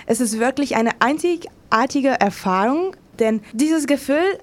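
A woman with a fairly high voice speaks as a guest in an interview. Her unscripted account is enthusiastic but halting, as she searches for words and uses slightly irregular grammar. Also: animated. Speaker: interviewed contributor.

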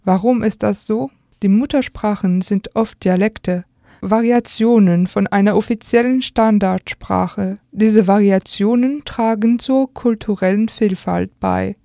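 A young woman from Alsace with a French accent and a soft, mellow tone. A native French speaker, she reads a prepared essay at medium pitch, in a very dry recording. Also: unhurried, creaky, no echo. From Alsace, France. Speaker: radio contributor. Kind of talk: scripted essay reading.

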